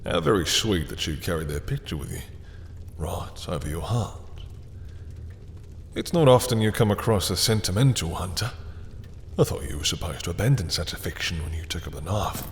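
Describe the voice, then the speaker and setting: Man playing a low-voiced, silky, mocking male vampire. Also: lively intonation, normal speed, long reverb tail, a little hiss or hum. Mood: mocking, courteous. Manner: silky, mocking, theatrically courteous, lingering over words. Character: dominant, obsessive male vampire taunting a captured hunter. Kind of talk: intimate roleplay audio drama monologue.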